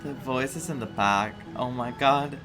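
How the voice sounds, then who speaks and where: A man's voice: medium pitch, unhurried. A man from Greece with a Greek accent, a non-native English speaker giving casual commentary as he plays a game. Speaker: game streamer. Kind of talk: casual playthrough commentary, reading dialogue aloud. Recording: game music behind the voice.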